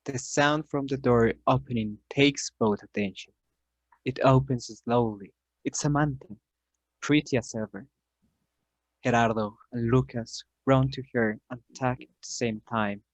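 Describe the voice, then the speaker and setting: A man's voice: medium pitch, fairly even intonation, measured pace, no room sound, dull audio. A man reading scripted narration for an amateur radio play, setting scenes as a storyteller.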